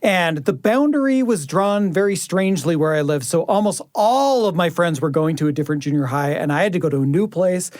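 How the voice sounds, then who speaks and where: A woman's voice: fairly low pitch, lively intonation, a little fast, few pauses, darkish tone, no room sound, studio quality. A woman co-hosting a podcast, moving between conversational chat and scripted narration. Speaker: podcast host.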